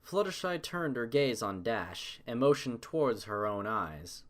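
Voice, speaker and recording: A man's voice: medium pitch, big pitch swings, unhurried, background noise. Male narrator reading a story.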